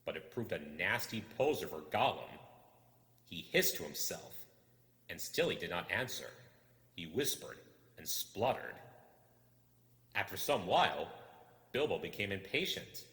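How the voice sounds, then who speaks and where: Low, animated male storyteller in deep reverb. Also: measured pace, pauses often. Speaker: storyteller reading a book aloud. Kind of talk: expressive scripted narration. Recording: echoing hall reverb.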